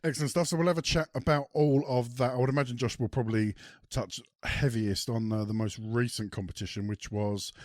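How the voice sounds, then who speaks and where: A man's voice: medium pitch, steady tone, a little fast, a little mellow, no echo, studio quality. A man hosting a casual podcast, rambling with ums and restarts while he has a cold. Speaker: podcast host. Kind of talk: casual chat.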